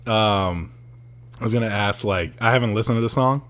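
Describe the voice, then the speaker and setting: A man's voice: fairly low pitch, normal speed, a bit bright. A young man co-hosting a podcast, chatting casually, teasing and laughing often. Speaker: podcast co-host. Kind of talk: casual chat.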